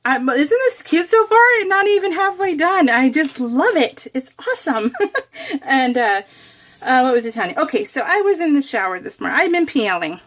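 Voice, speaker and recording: A woman with a fairly high voice narrates her crafting in real time. She is chatty and informal, giggly and quick to poke fun at herself, and her talk rambles along loosely. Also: sing-song, fairly bright tone. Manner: giggly, self-deprecating, rambling. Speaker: craft vlogger.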